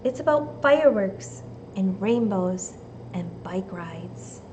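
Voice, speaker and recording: A woman from the United States reading a storybook aloud and giving children simple, deliberate instructions like a teacher. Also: mid-range voice, lively intonation, background noise. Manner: deliberate, simple phrasing addressed to young children.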